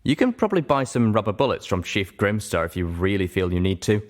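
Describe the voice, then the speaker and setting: A man's voice: lowish voice, sing-song, brisk. A man voicing a prim, officious female unicorn gate guard in a storybook reading. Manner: prim, orderly.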